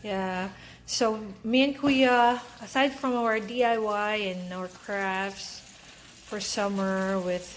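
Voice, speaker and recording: A woman's voice: mid-range voice, steady tone, slow. A mother and channel host from the Philippines, chatting casually in her home kitchen and prompting her son with step-by-step questions. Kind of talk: casual home cooking chat. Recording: noisy home kitchen with clicks.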